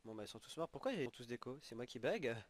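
Young male gamer with a sniffly cold, chatty play-by-play, mid-pitch voice. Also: lively intonation, quick pace. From French-speaking. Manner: chatty, exclaiming at in-game rewards. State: has a head cold, sniffling. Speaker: gaming channel host. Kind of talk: casual game commentary.

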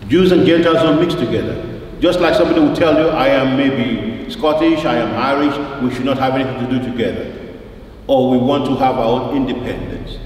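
A man preaching a sermon in an emphatic, deliberate way, repeating phrases for weight and putting questions to his listeners. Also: mid-range voice, a little mellow. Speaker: preacher at a church service. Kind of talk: sermon with scripture quotation and rhetorical questions. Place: large reverberant church, indoors. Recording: very echoey church, distant mic, faint noise.

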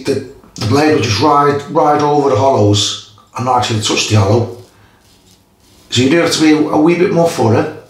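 Middle-pitched Geordie man in his fifties, easygoing shaving vlogger, roomy bathroom sound. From Newcastle area, England. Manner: chatty, stop-start, pauses between phrases. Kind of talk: casual chat while shaving. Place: small tiled bathroom. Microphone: camera in front of face. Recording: echoey bathroom, faint noise.

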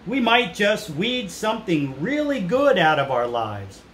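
A man's voice: high-pitched, sing-song, measured pace, fairly bright tone. A man in his fifties speaking as a pastor to children, explaining things simply. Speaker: pastor hosting a children's puppet show. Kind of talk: children's ministry talk. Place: indoors in a small room. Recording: roomy echo with some background noise.

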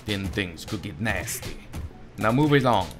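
A man's voice: lowish voice, animated, unhurried, music in the background, pitched below the performer's own voice. A man voicing a stern, indifferent police sergeant in game dialogue, authoritative and dismissive. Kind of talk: game dialogue read aloud.

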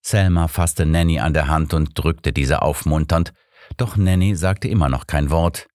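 A man narrating a scripted audiobook story in the first person, expressive and measured. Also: very deep voice, no echo, clean studio sound.

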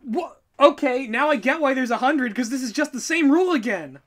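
Bright-voiced, excitable man chatting mockingly. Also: very high voice, a little fast. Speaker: co-host, audio drama writer. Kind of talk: casual reaction chat.

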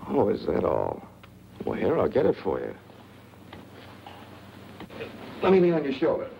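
An actor playing a killer on the run who poses as a friendly lodger, insinuating, in 1940s film noir style. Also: male voice, fairly low pitch, huge pitch swings, a little fast, vocal fry, a little hiss or hum, exaggerated voice. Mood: quietly menacing. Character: smooth-talking male killer on the run, posing as friendly lodger. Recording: dull vintage film soundtrack with music.

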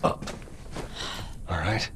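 A man's voice: drawn-out words, very noisy. An actor playing a weary, hardened middle-aged American man, terse and blunt, in a video game cutscene. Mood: firm, insistent. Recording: reverberant game mix with music.

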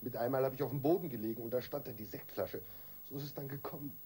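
Distraught, mellow-voiced husband. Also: male voice, medium pitch, breathy edge. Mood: agitated, anxious. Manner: halting, defensive, despondent. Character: distraught, weak-willed pharmacist husband, guilt-ridden and drinking. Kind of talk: German radio crime drama acting.

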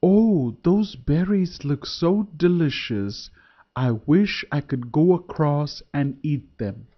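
A man's voice: fairly high pitch, lively intonation, takes it slowly. A man voicing a clever, cheerful young male deer in a children's storybook. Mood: wistful. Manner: bright, eager, raised voice. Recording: dull lo-fi recording.

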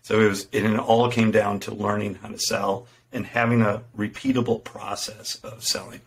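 A middle-aged man with a low, gravelly voice tells his business story on a podcast, earnest and self-deprecating, often restarting his sentences. He speaks into a studio microphone in a room at home, with faint ambience behind him. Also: level tone, moderate pace, slight background noise. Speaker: podcast guest, fitness entrepreneur. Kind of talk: casual storytelling interview. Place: home room with window, indoors. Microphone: studio microphone in front.

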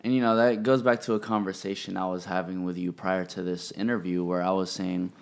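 A man in his thirties hosting an interview, talking in a relaxed, encouraging way full of "like" and "you know". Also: low voice, brisk, gravelly. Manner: laid-back, filler-heavy, encouraging. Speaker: interviewer host. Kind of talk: casual interview chat. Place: studio with record-covered wall. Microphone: studio microphone on boom arm.

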